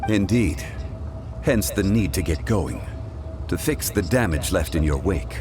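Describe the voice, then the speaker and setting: Deep-voiced, composed gentleman god. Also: animated. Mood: calm, firm. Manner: formal, measured, unruffled. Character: composed, courteous ancient god living as a gentleman. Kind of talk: video game acting. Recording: game audio with music behind.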